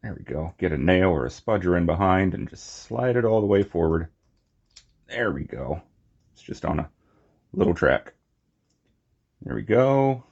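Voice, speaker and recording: A man giving a casual, unscripted hands-on toy review, talking in short, halting phrases on a slightly muffled recording. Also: low-pitched, very animated, vocal fry, a little mellow, husky. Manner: halting, short phrases while handling objects.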